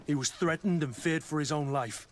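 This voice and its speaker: An actor playing a hardened, protective army captain in a video game, talking in a terse, blunt way. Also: male voice, on the high side, moderate pace, lightly acted. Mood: urgent, demanding. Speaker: soldier, captain. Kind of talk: dramatic video game acting.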